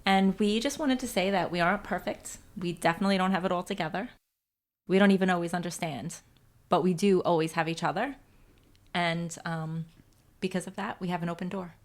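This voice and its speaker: A woman in her forties hosting a podcast, speaking in a soft, reflective way with many pauses. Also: lowish voice, fairly even intonation. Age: 40s. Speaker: podcast host. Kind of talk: casual faith-based chat.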